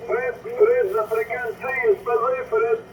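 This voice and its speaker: Very high-pitched Quebec man over noisy radio. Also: sing-song, takes it slowly. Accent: Quebec French. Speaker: radio amateur co-operator. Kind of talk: CB radio contact chat. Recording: radio noise behind the voice.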